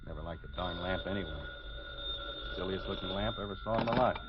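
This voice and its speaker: A man's voice: low voice, quick pace, lightly acted. An actor playing a flustered, grumbling middle-aged husband and father in the style of a 1950s radio sitcom, hemming and blustering. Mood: exasperated, defensive. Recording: muffled old 1950s radio broadcast, faint noise.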